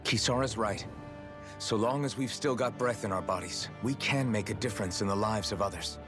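An actor playing a plain-spoken, caring young fighter in a dramatic video game. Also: male voice, on the low side, moderate pace, music in the background, close to an everyday voice. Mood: concerned, determined.

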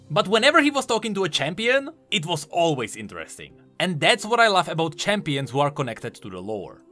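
A man's voice: fairly high pitch, bright, forceful tone. A man giving emphatic, enthusiastic voice-over commentary, explaining the lore of a video game. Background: music.

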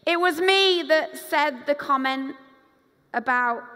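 High-voiced woman preaching on a stage. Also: slow. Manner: animated preacher, acts out quoted voices, moves around the stage. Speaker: pastor. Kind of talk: conference sermon. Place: large dark stage with hall reverb. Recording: long hall reverb.

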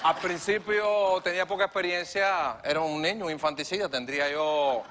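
An actor playing a naive, boastful man who keeps mangling words, speaking deadpan. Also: on the high side, moderate pace, noisy background, close to an everyday voice. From Cuba. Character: naive boastful Cuban man, malapropism-prone musician. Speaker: comedy character guest. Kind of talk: sketch comedy interview. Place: TV studio set with live audience. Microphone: lapel mic.

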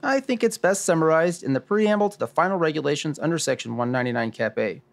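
A man acts out a world-weary expert in a noir radio drama. His voice is fairly high yet creaky, swinging widely in pitch as he explains things with dry deliberation. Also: animated, quick pace. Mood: explanatory. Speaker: host.

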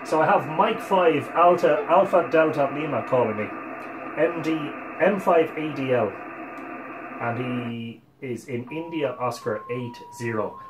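A man in his forties with an Irish accent casually explains a demonstration, thinking aloud as he works in a relaxed way. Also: medium pitch, unhurried, roomy sound, background noise. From Ireland. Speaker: amateur radio operator presenting. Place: small home radio room, indoors. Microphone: camera a couple of metres away.

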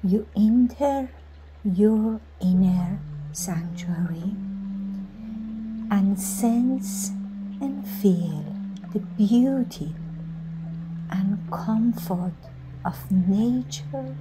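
A woman leading a scripted guided meditation in a soothing manner, giving instructions in short phrases with pauses between them. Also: mid-range voice, noisy background. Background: music.

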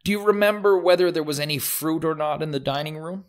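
A man's voice: a little high, fast, smooth, close to an everyday voice. A man playing a methodical prosecuting lawyer, putting formal, probing questions in a courtroom dramatization.

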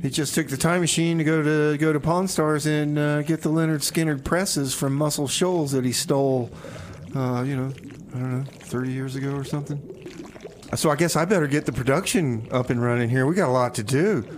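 A man's voice: a little high, fairly quick, a little hiss or hum. Rambling, folksy male radio host. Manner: rambling, repeats phrases, folksy. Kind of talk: casual community radio patter with comedy bits.

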